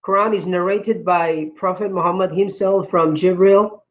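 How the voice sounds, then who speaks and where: A man argues defensively in a heated debate, stumbling over his words and starting his sentences again. His voice sits high for a man and reaches the listener through a dull, muffled lo-fi connection. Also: steady tone, moderate pace. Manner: defensive, stammering restarts. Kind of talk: heated online religious debate.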